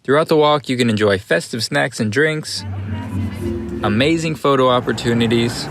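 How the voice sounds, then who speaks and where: A male news anchor reads the links from the studio. His voice sits at a middle pitch, with even, scripted delivery. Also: normal speed, over music.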